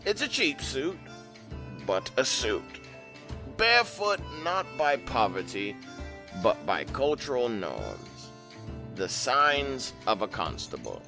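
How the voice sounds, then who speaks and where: Male storyteller narrator, very slow, lively pitch, guitar music under. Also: gravelly. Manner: slow, dramatic, measured.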